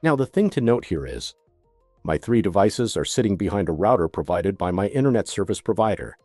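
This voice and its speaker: Low-voiced man narrating a lively-pitched tutorial. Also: a little fast, no echo, clean studio sound, soft background music. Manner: methodical, step-by-step instruction. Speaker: product demonstrator.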